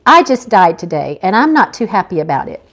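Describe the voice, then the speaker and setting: A woman's voice: lowish voice, sing-song, fairly quick, fairly bright tone, full character voice. A woman reading a story, voicing a sassy, defiant young woman with a catty, indignant manner. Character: sassy, defiant young woman, newly dead angel recruit. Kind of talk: live audiobook-style reading.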